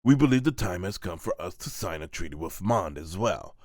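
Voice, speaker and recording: A man's voice: sits low, expressive, a little fast, darkish tone, no room sound, clean studio sound. A man voicing an oily, scheming diplomat in a fantasy manga dub, formal and insistent. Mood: smooth persuasion. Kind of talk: dramatic manga voice-over.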